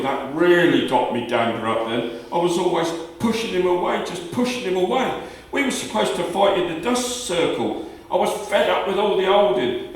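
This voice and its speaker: A man acting a hot-tempered working man and fighter in a radio play, talking in a colloquial, emphatic way. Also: sits high. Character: Jack, hot-tempered brickworks fighter confessing a killing. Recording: echoey room, far from the mic.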